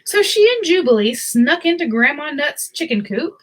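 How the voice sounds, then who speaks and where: A woman's voice: sits high, sing-song, moderate pace, fairly bright tone. A woman hosting a picture book read-aloud, telling the story expressively with casual asides.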